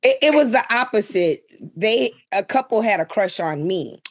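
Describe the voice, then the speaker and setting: Candid woman with a mid-pitched voice. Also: lively intonation, moderate pace. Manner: candid, colloquial, salty language. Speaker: panelist. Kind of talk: casual chat.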